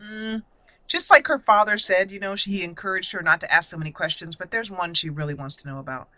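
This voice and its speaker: A woman's voice: on the low side, fast talker, bright, forceful tone, dry recording. A woman hosting a gaming video, giving casual roleplay commentary and thinking aloud as she plays.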